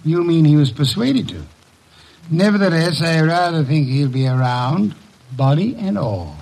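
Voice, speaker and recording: An actor playing a blunt, imperious detective in an old radio drama, pompous and formal, with a dry wit and a gravelly, husky voice. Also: male voice, a little high, expressive, a little slow, noisy background. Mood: dry, impatient. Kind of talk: 1940s-1950s American radio drama acting. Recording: vintage radio recording.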